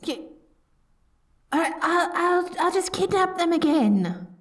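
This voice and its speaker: Casting: woman as haughty yet lovestruck evil queen for ASMR roleplay; slow, swooping, melodramatic delivery that can turn flustered, dry close sound. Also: very high voice, animated, no room sound, heavily acted. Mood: dramatic, nervous. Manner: grandiose proclamations breaking into flustered stammering. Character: dorky, theatrical evil queen, haughty yet secretly smitten. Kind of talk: scripted ASMR roleplay acting.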